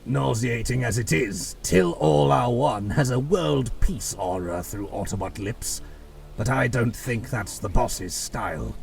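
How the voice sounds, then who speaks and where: A man voicing a wry, analytical robot scout in an animated series, gravelly and dry, heard from a distance over music. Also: medium pitch, unhurried. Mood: wry, pondering. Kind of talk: animated-series character acting. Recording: distant mic, music underneath.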